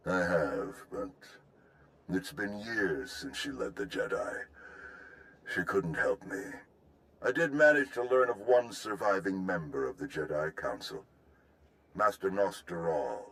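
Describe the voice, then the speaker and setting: An actor playing a courteous, formal Republic military overseer in a video game, with polished and effusive speech. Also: male voice, sits low, expressive, measured pace, fairly bright tone, heavily acted. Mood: grave, courteous. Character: genteel, courteous male Republic military overseer, tea connoisseur. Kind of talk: video game cutscene acting.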